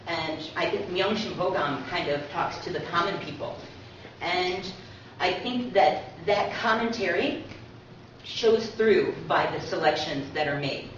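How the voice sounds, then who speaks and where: A woman's voice: on the low side, unhurried. Woman giving a talk in an echoey room. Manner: conversational, explanatory, often tags points with "right?". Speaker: co-presenter, university teacher. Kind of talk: informal public lecture. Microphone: distant from speaker. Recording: echoey room, distant muffled mic, faint noise.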